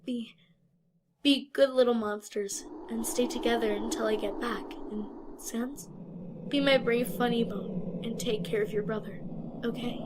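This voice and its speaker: A woman voicing a tender skeleton mother who serves as a royal guard, speaking gently and affectionately in a comic dub. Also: on the high side, steady tone, noisy background, lightly acted. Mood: worried, soothing. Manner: gentle, slow, affectionate speech. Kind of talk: animated comic dub acting. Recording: music bed under the voice. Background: music with glockenspiel.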